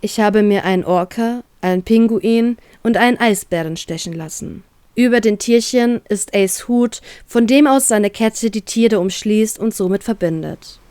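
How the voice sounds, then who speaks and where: A woman's voice: medium pitch, normal speed, darkish tone, clear, resonant tone, no room sound. A woman reading a scripted story aloud as its narrator, in a dramatic storytelling style. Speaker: narrator of fan fiction audio story.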